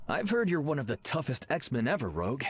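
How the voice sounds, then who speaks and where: A man voicing an earnest, friendly martial arts superhero in a video game, with a husky voice. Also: medium pitch, very animated, a little fast. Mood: eager. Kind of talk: video game character voice acting.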